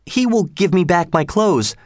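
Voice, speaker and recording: Brief: a man doing a scared pauper boy, high and pleading, storybook reading. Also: animated, a little slow, dry recording, studio quality. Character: frightened poor boy, humble and pleading.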